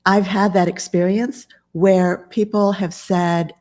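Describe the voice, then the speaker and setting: A woman in her fifties, a business coach and author, speaking calmly and in a measured way as a podcast guest. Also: mid-range voice. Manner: calm, measured. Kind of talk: podcast interview conversation.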